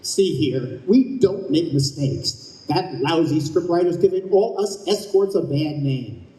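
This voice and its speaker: Casting: male actor as officious celestial usher, huffy, highish mellow voice, long-reverb radio play stage. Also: expressive, measured pace. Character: huffy, officious male spirit escort to the afterlife. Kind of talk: old-time radio play acting.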